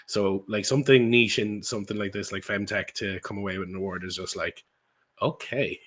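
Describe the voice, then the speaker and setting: An Irish man with a fairly low voice hosts a podcast. He talks casually and with enthusiasm, in loose, informal language, as if chatting with his guest. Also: fairly even intonation, a little fast, fairly bright tone. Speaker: podcast host. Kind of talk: casual conversational interview.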